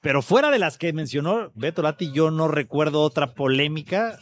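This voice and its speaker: A man's voice: a little high, lively intonation, moderate pace. A man commenting emphatically on a football talk panel. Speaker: sports panelist. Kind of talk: casual sports commentary.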